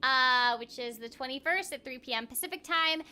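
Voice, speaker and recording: A woman's voice: sits high, moderate pace, pushed and bright, a little hiss or hum. A woman hosting a casual game livestream, chatting playfully with her viewers as she plays. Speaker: game livestream host, voice actor. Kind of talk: casual livestream chat while playing a game. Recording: game music behind the voice. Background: video game music.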